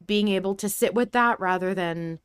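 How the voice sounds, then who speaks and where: A woman's voice: medium pitch, moderate pace, clear, resonant tone, no room sound, studio quality. A woman hosting a podcast, talking through her thoughts in a casual, reflective, conversational way. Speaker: podcast host.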